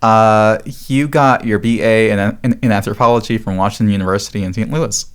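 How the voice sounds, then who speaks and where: A man's voice: fairly low pitch, fairly even intonation, fairly quick, smooth. A man interviewing a guest on a podcast, asking long questions full of fillers.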